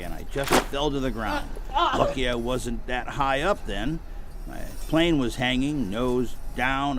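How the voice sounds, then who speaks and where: An actor playing a weary, wistful elderly American war veteran, reminiscing in a folksy, halting, rambling way as an audio drama monologue. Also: male voice, medium pitch, close to an everyday voice.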